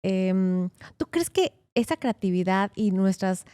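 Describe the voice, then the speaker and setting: A woman lawyer hosts a casual interview and keeps the talk moving. She sounds chatty and encouraging, with a mellow tone. The voice is recorded close and dry. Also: mid-range voice, expressive, slow, smooth, no echo. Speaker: host, immigration lawyer.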